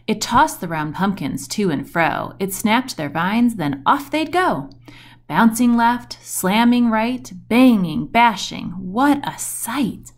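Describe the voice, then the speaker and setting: A woman reading a rhyming children's picture book aloud in an expressive, deliberate storytelling manner, stressing the rhymes and action words. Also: a little high. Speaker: storybook reader.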